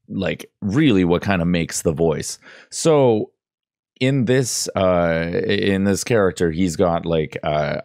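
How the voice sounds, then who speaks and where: A man with a very deep voice talks through a tutorial in an informal way. He rambles and halts as he explains things. The sound is close and dry, from a studio mic at a desk. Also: a little mellow, dry recording. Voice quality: relatively low voice. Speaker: tutorial presenter. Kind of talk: casual screen-recorded tutorial. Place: indoor desk setup. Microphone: close boom-arm studio mic, headphones.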